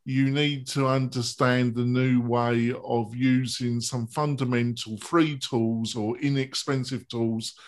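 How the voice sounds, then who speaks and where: A man hosting a live web panel show, chatty and promotional as he goes to a break. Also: medium pitch. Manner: chatty, plugs a webinar with food metaphors. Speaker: show host.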